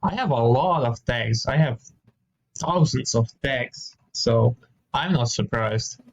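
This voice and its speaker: Croatian-born man, cool and assured debater, cites studies, teasing edge, dull lo-fi call audio. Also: mid-range voice. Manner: calm, confident, quick with data and sarcasm. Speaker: debating video chat host. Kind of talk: casual debate on video chat.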